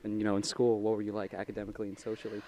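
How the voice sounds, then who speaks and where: A man's voice: fairly low pitch, completely flat delivery, very fast, a little mellow, a little hiss or hum. A young man hosting a college TV interview, formal and slightly stiff, partly reading a script. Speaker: student host.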